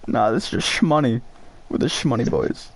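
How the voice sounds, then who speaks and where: A man's voice: mid-range voice, normal speed, rough recording. A man co-hosting a podcast, riffing silly jokes in casual chat. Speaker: podcast co-host.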